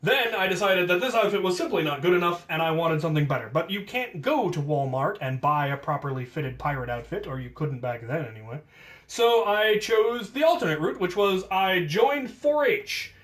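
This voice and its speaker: An American man casually telling stories from his own life, with playful self-deprecation and drawn-out emphasis on key words. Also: high-pitched, lively intonation, fairly bright tone. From United States. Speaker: storyteller, host of a hobby channel. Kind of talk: casual autobiographical storytelling.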